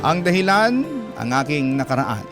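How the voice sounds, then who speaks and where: A male host reading a listener's letter aloud in a slow, emotive, classic radio storytelling manner. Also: on the high side, sing-song. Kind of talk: dramatic storytelling narration. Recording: guitar music under the voice. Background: guitar music.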